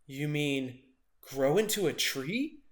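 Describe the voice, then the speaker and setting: Guy doing a loyal lil storybook worm, earnest and encouraging, kinda high voice. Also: lively intonation, slow pace. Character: small, loyal, encouraging male worm companion.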